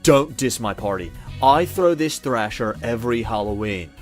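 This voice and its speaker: Laid-back, spacey young party dude, played by an actor. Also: male voice, medium pitch, animated, moderate pace, soft background music, natural voice. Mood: unbothered. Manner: slangy, casual, unbothered. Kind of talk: visual novel voice acting.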